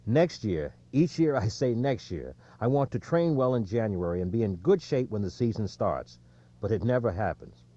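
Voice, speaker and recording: Weary male champion cyclist, voiced by a male audiobook reader: self-deprecating, plainspoken, relaxed dark tone, slightly lo-fi. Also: on the low side, normal speed. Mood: rueful. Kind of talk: quoted speech in audiobook narration. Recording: dull, lo-fi audio.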